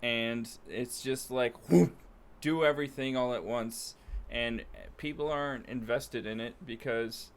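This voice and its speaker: Male co-host, medium pitch, blunt critic in informal chat, happy to curse. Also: unhurried. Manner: opinionated, blunt, swearing critic. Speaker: podcast co-host. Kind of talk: casual chat about films and games.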